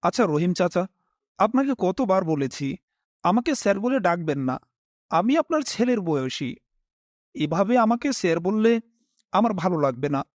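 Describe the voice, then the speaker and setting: High-pitched, expressive young male storyteller. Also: moderate pace, pauses often, no room sound, clean studio sound. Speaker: first-person narrator and main character. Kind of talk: dramatised scripted horror story narration.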